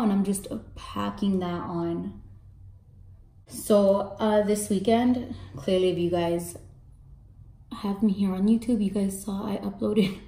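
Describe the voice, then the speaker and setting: A young woman with a mellow, slightly raspy voice that sits deep for a woman. She chats casually to her viewers in slang, with candid asides, while she does her makeup at home. The room adds a clear echo to her voice. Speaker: makeup artist and beauty vlogger. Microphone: camera in front, face framed close.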